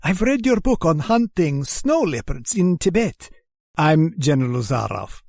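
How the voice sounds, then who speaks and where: A man's voice: fairly high pitch, big pitch swings, a little slow, creaky, a little mellow, no room sound, studio quality, full character voice. A man playing a genteel, menacing aristocratic general and hunter in a radio drama, courtly, formal and theatrical in manner. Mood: courtly, hospitable. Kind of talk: old-time radio drama acting.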